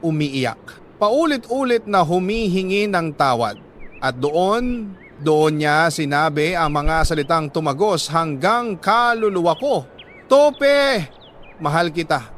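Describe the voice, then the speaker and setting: A Filipino man reading a love story in dramatic first-person narration, emotive and deliberate, with pauses for effect. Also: sits high, a bit bright, a little hiss or hum. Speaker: storyteller reading a letter on a love stories show.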